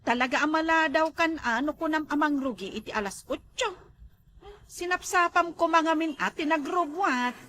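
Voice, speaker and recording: A woman's voice: sits high, moderate pace, pushed and bright, a little hiss or hum. A woman with an Ilocano accent playing a chirpy, boastful, gossipy village neighbour in a comedy drama. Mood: teasing, advising. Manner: giggly, teasing, gossipy.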